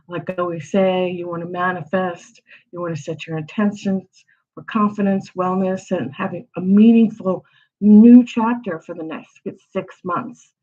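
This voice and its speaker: A woman hosting an astrology channel, giving a casual, rambling forecast full of rhetorical questions. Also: on the low side. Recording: slightly dull lo-fi audio.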